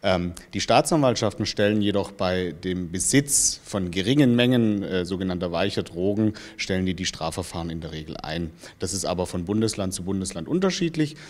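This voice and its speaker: A man in his thirties giving measured, matter-of-fact expert answers in an interview, into a handheld microphone. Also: deep voice, a little mellow. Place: small indoor information room with bookshelves. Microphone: handheld microphone held out to him.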